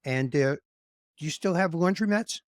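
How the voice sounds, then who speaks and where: A man from New York who hosts a podcast and asks loose, rambling questions. His voice sits a little high for a man. It sounds dry and close to the mic. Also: normal speed, no echo. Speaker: podcast host. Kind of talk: casual interview.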